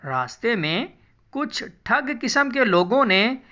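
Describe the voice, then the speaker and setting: A woman's voice: fairly high pitch, very animated, slow pace, pushed and bright, lo-fi sound. A woman storyteller reading a scripted moral tale in storybook narration for a cartoon.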